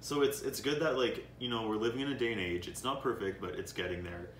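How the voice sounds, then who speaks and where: A man's voice: medium pitch, animated, fast talker, a bit bright, slight background noise. A young man hosting a casual chat, supportive and jokey, backing up his guest with frequent yeahs. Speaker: host. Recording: echoey room, mic at a distance, faint ambience.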